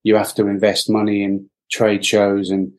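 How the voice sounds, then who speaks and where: A man's voice: lowish voice, completely flat delivery, moderate pace, a little mellow. A middle-aged man from Wales, a podcast guest, talking reflectively with many fillers and restarts. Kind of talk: reflective interview talk.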